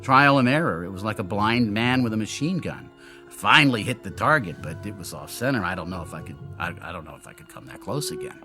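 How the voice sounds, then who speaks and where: A man's voice: lowish voice, animated, fast talker, music in the background. An actor in a radio drama playing a brilliant, lonely male inventor who explains himself in theatrical, brooding monologues. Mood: regretful. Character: brilliant, lonely, self-doubting male inventor of an android double.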